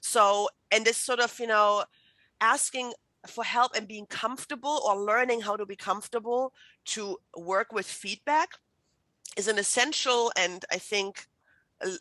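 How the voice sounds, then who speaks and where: A woman's voice: medium pitch, bright, forceful tone, no room sound, poor audio. A middle-aged woman from Germany, German native, giving a chatty online writing workshop with many fillers and restarts. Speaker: writing instructor. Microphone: video call.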